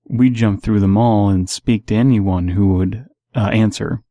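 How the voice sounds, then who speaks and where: A man's voice: fairly low pitch, fairly even intonation, unhurried, mellow, no room sound. A man reading stories aloud and giving casual, analytical commentary as the host.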